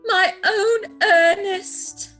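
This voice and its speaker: A woman's voice: very high voice, fairly even intonation, sharp tone, soft background music, exaggerated voice. A woman playing a romantic, willful upper-class young lady in a theatrical period comedy, with an affected, gushing manner. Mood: adoring, rapturous. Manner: affected, gushing, grandly drawn-out delivery.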